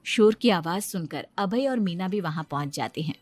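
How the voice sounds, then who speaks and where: A woman's voice: fairly low pitch, lively intonation, normal speed, no room sound, faint music behind. A woman narrating a scripted moral story as its storyteller.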